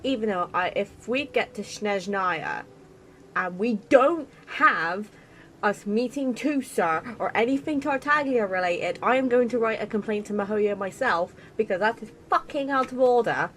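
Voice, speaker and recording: Female gaming host: mid-pitched, casual, sarcastic, blunt and sweary commentary, faint game noise behind. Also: lively intonation, normal speed, a bit bright. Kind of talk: casual let's play commentary.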